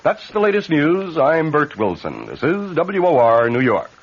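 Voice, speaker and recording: American newscaster on vintage radio. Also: male voice, a little high, wildly swooping pitch, moderate pace, muffled recording. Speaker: radio news anchor. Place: radio studio. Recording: band-limited vintage 1970s AM radio.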